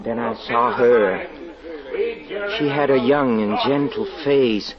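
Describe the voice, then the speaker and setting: An actor playing an anguished, haunted fortune teller in an old radio drama, halting and brooding as he confesses. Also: medium pitch, over a noisy crowd, lightly acted. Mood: wistful awe. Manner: halting, brooding, repeats words with dramatic hesitation. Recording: dull vintage radio recording, music underneath.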